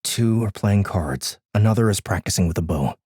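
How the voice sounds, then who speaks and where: Young apprentice scout, low, very husky, whispery, serious, dry recording, audiobook acting. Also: male voice, animated, normal speed, dark tone, no room sound. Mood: hushed, wary. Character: stealthy male apprentice scout, hushed and serious.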